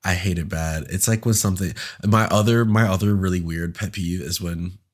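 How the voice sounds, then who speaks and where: A man's voice: low voice, steady tone, normal speed. A young man co-hosting a podcast, congested from a cold, chatting casually with campy, dramatic rants and frequent laughs. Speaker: podcast co-host. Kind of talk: casual chat recapping a reality show.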